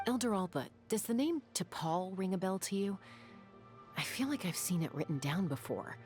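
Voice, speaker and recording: A woman's voice: medium pitch, expressive, moderate pace, darkish tone, faint music behind, close to an everyday voice. A woman playing a tough, commanding young gunslinger in a fantasy game, stern and probing. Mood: pensive. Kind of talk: video game dialogue acting.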